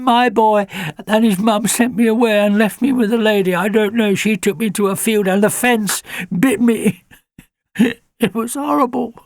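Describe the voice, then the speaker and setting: A man's voice: extremely high pitch, no echo, pitched above the performer's own voice. A man voicing a big, frightened male puppy hound who sobs and pleads. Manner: sobbing, pleading, whimpering.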